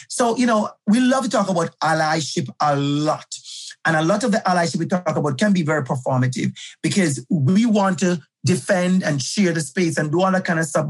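Male guest educator, middle-aged, husky and emphatic, conversational interview delivery. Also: on the high side. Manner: passionate, emphatic, repeats key phrases.

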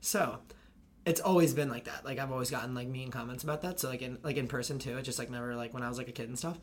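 Deep raspy young woman, rapid chatter. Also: a little hiss or hum. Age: young adult. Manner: fast rambling with constant "like". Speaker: college lacrosse player and video creator. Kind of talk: casual podcast chat.

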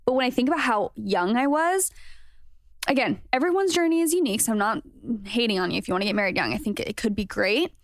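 A woman's voice: fairly high pitch, animated, fast, dry recording, studio quality. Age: 20s. Sex: woman. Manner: earnest, thoughtful. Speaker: podcast guest. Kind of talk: casual chat.

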